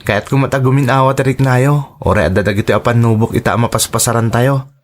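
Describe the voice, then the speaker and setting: A man's voice: medium pitch, a little fast, natural voice. A man playing a dutiful teenage son in a radio drama, tender and earnest, with an Ilocano accent.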